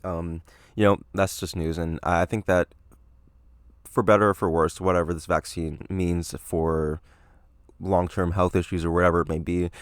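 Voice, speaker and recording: A young man with a deep, slightly raspy voice hosts a solo podcast in a loose, meandering way. He hedges often and leans on fillers as he thinks aloud. The sound is dry and close, with no room echo. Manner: rambling, hedging, many fillers. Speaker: podcast host. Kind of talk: solo casual podcast monologue.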